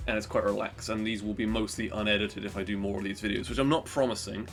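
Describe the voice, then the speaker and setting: A man's voice: lowish voice, flat delivery, quick pace, a bit bright. A man with a stuffy nose from hay fever, rambling through casual, self-deprecating gameplay commentary. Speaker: gaming YouTuber. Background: game music.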